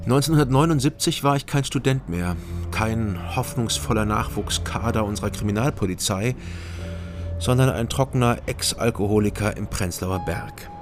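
A laconic male private detective, played by an actor, narrates a radio crime drama in a low voice. His sentences are terse and observant, with music clearly behind him. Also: normal speed, natural voice. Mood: reflective. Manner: terse, matter-of-fact. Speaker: first-person narrator of a crime radio play.

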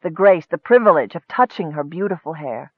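A man's voice: on the high side, animated, fairly quick, husky, no room sound, dull audio. A man voicing a timid, anguished young cleric, earnest, hesitant and pleading, in a storybook. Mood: wistful, bitter.

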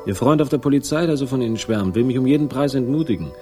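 A man's voice: medium pitch, expressive, fast, darkish tone, natural voice. An actor playing a laconic, ironic investigator who narrates his case in a 1960s German crime radio drama. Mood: guarded, sardonic. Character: wry, hard-boiled male investigator narrating his case. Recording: music behind the voice.

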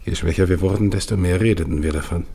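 Gravelly, husky, dying polar explorer. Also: male voice, sits low, fast, dark tone, heavily acted. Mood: bleak, weary. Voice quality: gravelly, husky, at times whispered. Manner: solemn, incantatory, repeating phrases. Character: dying, grieving male polar expedition leader recounting his doomed march. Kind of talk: literary German radio play monologue.

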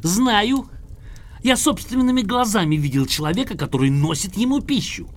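An actor in an audio drama playing a cranky, boastful, litigious old English gentleman, emphatic, pompous and theatrical, with a creaky, husky voice. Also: high-pitched, expressive, normal speed. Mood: insistent. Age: older.

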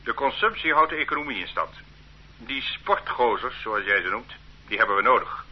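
An actor playing a stern, condescending police officer who lectures a detainee in an authoritarian way in a radio drama. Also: male voice, mid-range voice, lively intonation, moderate pace, slightly rough. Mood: lecturing, condescending. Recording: muffled old radio play recording.

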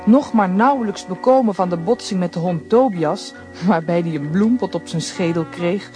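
A man narrating a children's radio play in an even storytelling voice. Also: high-pitched, normal speed, faint music behind.

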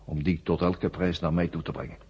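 A man playing an ancient, worn-out war machine brain in a 1970s radio drama, weary and forgetful, in flat, halting, repetitive statements. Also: sits low, slight background noise, natural voice. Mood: weary, explaining. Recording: muffled old radio drama recording.

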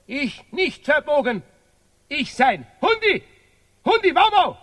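Radio-play creature: small obstinate talking dog, very high, childish, woofing, echoing in a big hall. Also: male voice, big pitch swings, takes it slowly, fairly bright tone, exaggerated voice. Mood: eager, yappy. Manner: childish broken speech with barked woof-woofs. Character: stubborn, yapping little shepherd dog, man turned doggy. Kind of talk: experimental radio play acting.

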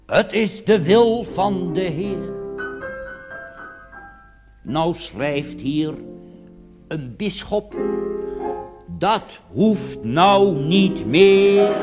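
A man performs a nostalgic old Dutch grandfather in a cabaret song, reminiscing to his wife in slow, drawn-out phrases with a Netherlands accent. The pitch sweeps widely, and music plays in a long-reverberating hall. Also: heavily acted.

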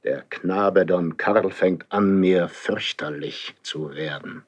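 A man's voice: sits low, takes it slowly. A man playing a stern, lonely ageing king in classical verse radio drama, speaking in a solemn, declamatory way. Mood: brooding, imperious.

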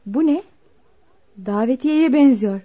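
A woman's voice: sits high, expressive, slow pace, heavily acted. An actress playing a haughty young girlfriend being jilted in a Turkish radio play. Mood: bright, curious. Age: young adult. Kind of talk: Turkish radio theater acting. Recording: muffled lo-fi radio drama recording.

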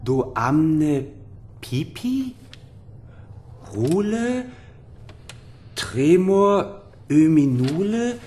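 An actor playing a timid, earnest and curious young gentleman in a radio drama, with a formal, hesitant, musing manner. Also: fairly high pitch, sing-song, extremely slow, long pauses, slight background noise, full character voice. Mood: puzzled, deciphering. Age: young adult.

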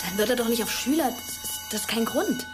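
A woman's voice: on the high side, lively intonation, brisk, over music, close to an everyday voice. A young woman playing a brooding, scornful teenage schoolgirl with pointed, colloquial delivery in a German radio drama. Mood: doubting.

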